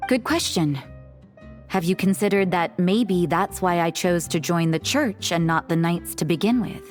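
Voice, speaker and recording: A woman's voice: lowish voice, unhurried, music in the background, close to an everyday voice. A woman voicing a cool, blunt young nun in a video game, with a dry, matter-of-fact delivery.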